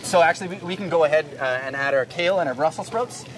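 A fast-talking man in his thirties demonstrates a recipe with an easygoing, joking manner. He is picked up from a little distance on a lapel mic, with clear noise from a busy grocery store behind him. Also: a little high. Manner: easygoing, laughs often, calls guest "man". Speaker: chef and cooking segment host. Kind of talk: casual cooking demonstration chat. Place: indoors in a large grocery store.

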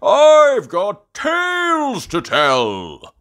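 A boisterous old grandfather rabbit, hearty and booming. The voice is pitched well up and swoops widely, stretching words out slowly like a jolly sailor in a children's cartoon storybook. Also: wildly swooping pitch, fairly bright tone, heavily acted. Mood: hearty, wistful. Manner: hearty, booming, drawn-out exclamations, breaks into song.

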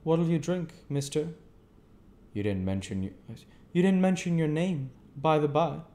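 A man doing a sly, cunning stranger, higher. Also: measured pace, mellow, lightly acted. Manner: probing, sly questions. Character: secretive cunning male stranger in a pub.

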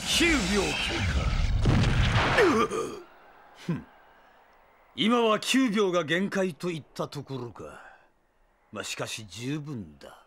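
High, gloating immortal vampire villain. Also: male voice, very animated, noisy background. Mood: cold, triumphant. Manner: theatrical, sneering, drawn-out words, maniacal. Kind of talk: anime audio drama acting. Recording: long reverb.

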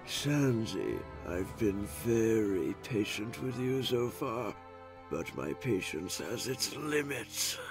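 A man voicing a sinister cloaked spirit in a comic dub, patient, condescending and breathy, with deliberate menace. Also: lowish voice, very animated, full character voice. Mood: cold command. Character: sinister cloaked hermit spirit, coldly patient, vengeful manipulator. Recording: music behind.

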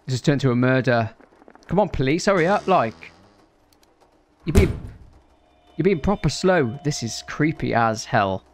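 A man's voice: mid-range voice, animated, a little slow, pauses often, slight background noise. A British man in his thirties giving casual let's play commentary and reading out dispatcher lines. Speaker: gaming video host. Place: small indoor room.

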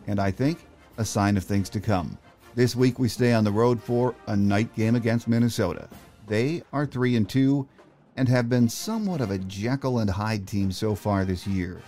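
Low-voiced man hosting a sports podcast. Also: mellow. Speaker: podcast host. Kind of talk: scripted sports podcast narration.

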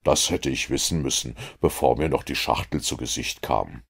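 A man voicing a calm, confident detective who explains his reasoning in a measured, lecturing way. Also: extremely low pitch, creaky, slightly rough, full character voice. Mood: explaining. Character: calm, confident male detective, middle-aged.

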